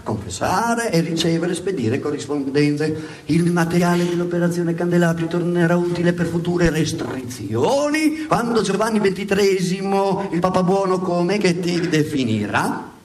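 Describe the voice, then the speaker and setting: Animated, ironic male stage storyteller. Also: high voice, normal speed. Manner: emphatic, ironic, repeats words dramatically. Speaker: stage narrator. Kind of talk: satirical theatrical monologue storytelling. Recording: hissy radio theatre recording.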